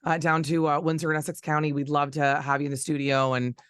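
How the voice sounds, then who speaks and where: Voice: mid-range voice, flat delivery, fast, fairly bright tone, smooth, dry recording. A radio host doing a casual, chatty interview in an informal and gushing manner.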